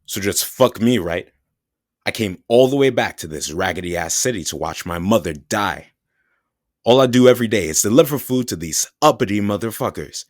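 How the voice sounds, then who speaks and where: A man voicing a bitter young son in an audio drama, sarcastic and quick to curse. His low voice is recorded dry and close. He sounds resentful and sullen throughout. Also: expressive, no room sound, close to an everyday voice. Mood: angry.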